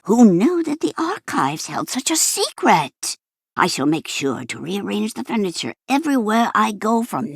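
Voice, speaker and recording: An elderly female archivist from a space-fantasy video game, speaks in a formal, prim way with a wry, fussy edge. Her voice sits a little low for a woman and moves in lively, theatrical swings. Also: gravelly, no echo, clean studio sound, heavily acted. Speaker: game character voice actor. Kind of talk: scripted video game character lines.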